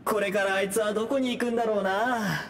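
A man's voice: high-pitched, steady tone, fast talker, a little hiss or hum. A man voicing a casual, friendly male ghost in a Japanese video game. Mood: casual, musing.

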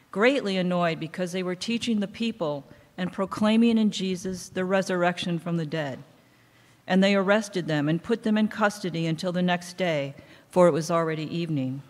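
A middle-aged woman reading Bible lessons aloud at a lectern in a church, in a measured, steady cadence. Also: fairly low pitch. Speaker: lay scripture reader. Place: church sanctuary, indoors. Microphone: microphone on a stand at a lectern. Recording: long church hall reverb.

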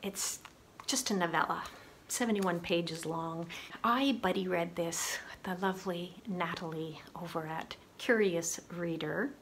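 A woman hosting a book channel, talking through her recent reads in a relaxed, musing way with frequent pauses. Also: lowish voice, slight background noise. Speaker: booktube host. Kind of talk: casual book review.

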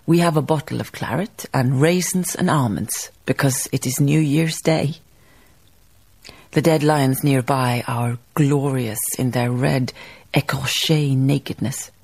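An actress playing a reflective woman memoirist, narrating a radio drama in a measured, lyrical way, savouring her phrases. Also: a bass voice, creaky, darkish tone, breathy edge, exaggerated voice. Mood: contemplative. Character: reflective, poetic woman memoirist recalling colonial farm life.

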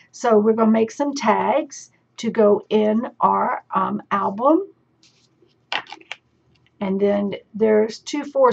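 Woman, craft tutor, husky and a bit hoarse, casual step-by-step chatter, dull lo-fi tabletop recording. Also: medium pitch. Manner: chatty, explaining step by step with fillers. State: slightly hoarse. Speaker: crafting teacher. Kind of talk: casual show-and-tell demonstration. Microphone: camera overhead on tabletop.

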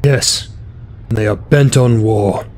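An actor playing a brisk, formal, authoritative marshal in a science fiction audio drama. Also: male voice, lowish voice, darkish tone. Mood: stern, official. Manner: formal, commanding. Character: brisk, authoritative military marshal in space opera. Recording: faint background ambience noise.

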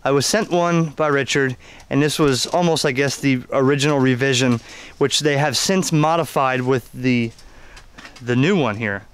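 Smooth-voiced man reviewing radio gear. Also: medium pitch. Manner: halting, explanatory, conversational. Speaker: ham radio hobbyist reviewing an antenna. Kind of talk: unscripted product demonstration and review.

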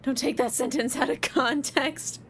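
Slow-talking young woman streamer. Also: high-pitched, steady tone, a little hiss or hum. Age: 20s. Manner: chatty, self-deprecating, lots of fillers. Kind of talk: live game stream chat.

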